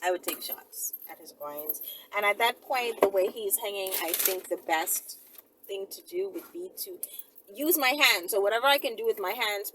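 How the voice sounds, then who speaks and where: A woman talking casually to her viewers as a video host, rambling conversationally and often checking in with tag questions. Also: mid-range voice. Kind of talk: casual solo talk to viewers. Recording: distant mic, loud vehicle-like background noise.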